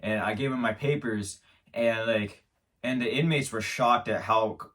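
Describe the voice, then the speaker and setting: Low-voiced man, stammering mock-serious storyteller. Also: normal speed, fairly bright tone, far from the mic. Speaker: show host. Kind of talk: absurd comedic monologue.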